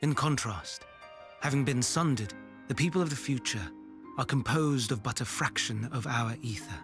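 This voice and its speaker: A man acting as an ancient, learned figure in a fantasy game. He explains things gravely and formally, in measured phrases at a middling pitch. Soft music plays underneath. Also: close to an everyday voice. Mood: grave, thoughtful. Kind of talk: video game cutscene acting.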